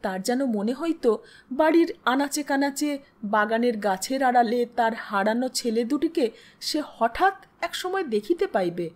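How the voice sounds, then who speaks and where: A woman's voice: fairly high pitch, animated, measured pace. A woman narrating a literary story expressively, as in an audiobook.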